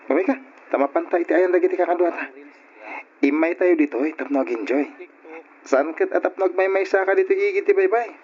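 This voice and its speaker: A man's voice: high-pitched, fairly quick, close to an everyday voice. An actor playing an upbeat, playful male college student in an Ilocano radio drama, with an Ilocano accent. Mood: friendly, teasing. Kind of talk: old radio drama acting. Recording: noisy, muffled lo-fi radio recording.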